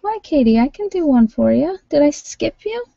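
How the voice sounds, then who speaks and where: A woman chatting casually as a livestream host reading cards, thinking aloud in an informal, halting way, on dull lo-fi audio. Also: high-pitched, big pitch swings, gravelly, dark tone, no room sound. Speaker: livestream host doing tarot card readings. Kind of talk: casual live chat with viewers, card reading. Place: at home, indoors.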